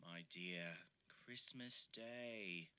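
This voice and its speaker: An actor playing a gentle, earnest, devoted father, a poor clerk, in an audio play. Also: on the low side, extremely slow, smooth, full character voice. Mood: gently reproving.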